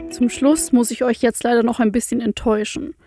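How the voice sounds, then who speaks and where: A woman with a Franconian accent presenting the news and speaking in a clear, measured way, with a rolled R. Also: on the high side, fairly even intonation, dark tone, faint music behind.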